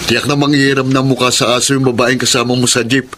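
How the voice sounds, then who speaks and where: A man's voice: medium pitch, level tone, quick pace, fairly bright tone, natural voice. An actor playing a teasing, wisecracking young man in a Filipino radio drama. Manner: joking. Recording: clear noise behind the voice.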